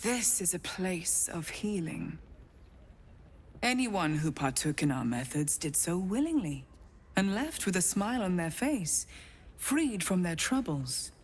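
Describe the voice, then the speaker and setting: A woman playing a cold, condescending cult priestess in a video game, deliberate and disdainful, sometimes hushed. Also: on the low side, sing-song, slight background noise. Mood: cold, smug.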